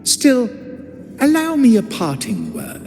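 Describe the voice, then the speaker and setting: A man's voice: very high voice, huge pitch swings, slow pace, exaggerated voice. An actor playing a mocking, cryptic male acolyte villain in a video game cutscene, theatrical and taunting. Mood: ominous. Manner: theatrical, taunting, swooping delivery. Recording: reverberant game audio with loud music.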